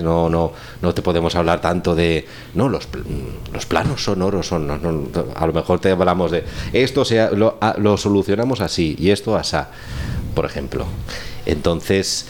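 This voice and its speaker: A man with a Spanish accent from Spain talks casually as a guest instructor, halting and often digressing or correcting himself. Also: deep voice, a little mellow, a little hiss or hum. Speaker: guest, course instructor and audio documentary maker. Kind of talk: casual round-table talk.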